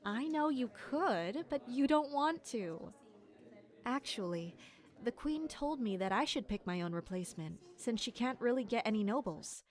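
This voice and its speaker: A woman's voice: fairly high pitch, animated, moderate pace, natural voice. A woman playing a polite, observant royal maid in an audio drama, speaking articulately. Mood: excited, chatty. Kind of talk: audio drama acting with inner-monologue narration.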